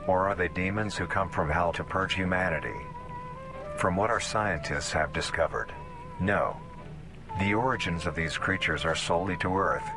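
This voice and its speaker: A solemn nature documentary narrator with a very low voice. The delivery is formal, measured and scripted, with music clearly playing behind it. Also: male voice. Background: background music.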